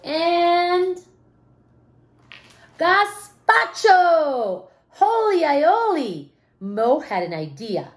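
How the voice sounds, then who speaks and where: Animated, high-voiced woman reading a story. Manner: expressive, dramatic pauses, questions to young listeners. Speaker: storybook reader. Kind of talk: animated children's read-aloud with asides to listeners. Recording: roomy, echoing room sound.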